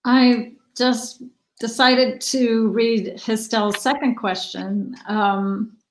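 A woman's voice: a little high, fairly even intonation, drawn-out words, slight background noise, rough recording. A middle-aged woman moderating an online session, reading a viewer's question aloud from her living room on a video call.

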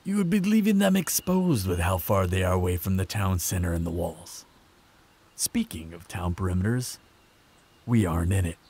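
A man playing a proud, protective vampire in a fantasy roleplay audio drama, speaking in measured, sardonic threats. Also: lively intonation, darkish tone, full character voice. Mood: smug, assertive. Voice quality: low, gravelly, husky. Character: proud protective male vampire, menacing, sardonic, fond of lover.

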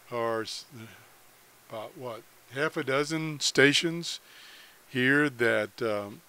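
A man's voice: medium pitch, fairly bright tone, slight background noise. An American man giving a casual screen-share tutorial as a hobbyist, unscripted and thinking aloud as he goes. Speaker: amateur radio hobbyist demonstrating a website.

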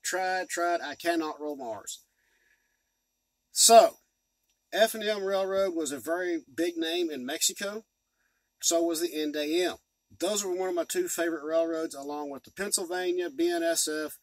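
A man's voice: fairly high pitch, a bit bright, no room sound, low-quality recording. A man in his forties from the United States talking in a rambling, folksy way, giving a casual hobby vlog update from inside a truck cab. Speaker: model train hobbyist and video host. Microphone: phone or camera at arm's length.

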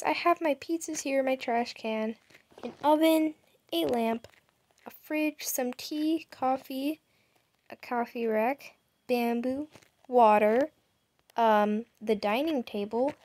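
Woman gamer, high voice, unhurried rambling narration over gameplay. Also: a little mellow. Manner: chatty, rambling with fillers. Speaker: gaming YouTuber. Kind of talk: casual game house tour. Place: home setup.

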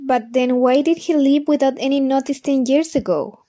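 A woman's voice: high voice, normal speed, warm tone, lightly acted. A woman playing a skeptical, questioning funfair stallholder in an audio drama. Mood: doubtful.

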